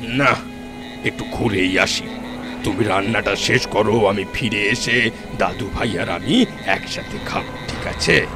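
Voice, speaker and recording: Man playing a slow, creaky-voiced old village grandfather. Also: fairly low pitch. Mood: gentle. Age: older. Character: elderly village grandfather, alert, uneasy, kind to family. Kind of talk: Bengali audio horror story acting. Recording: music bed under the voice.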